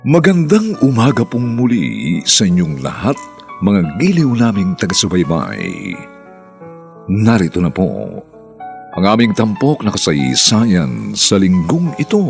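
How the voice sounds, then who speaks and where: A man's voice: lowish voice, vocal fry. A Filipino man giving grand, theatrical scripted narration as a radio drama announcer. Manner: grand, dramatic, drawn-out title delivery.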